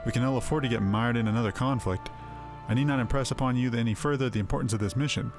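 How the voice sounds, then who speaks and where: A man's voice: medium pitch, natural voice. Man reading as a solemn fantasy official over music. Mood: solemn, earnest. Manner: formal, measured, earnest. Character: solemn, dutiful forest official briefing an envoy.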